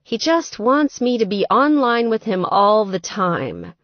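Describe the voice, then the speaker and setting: A woman's voice: medium pitch, sing-song, measured pace, dry recording, muffled recording, voice pushed up. A woman voicing a sweet, distressed young woman gamer in an audiobook, plaintive and exasperated. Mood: troubled. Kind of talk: audiobook character dialogue.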